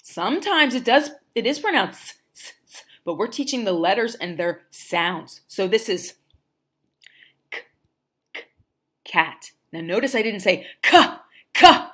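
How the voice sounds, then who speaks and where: A middle-aged woman from New Jersey with a softened Jersey accent, teaching phonics in a livestream and sounding out letters while checking in with "okay?". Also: medium pitch, animated, measured pace, pauses often. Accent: New Jersey, softened toward standard American. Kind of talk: livestream phonics lesson for parents.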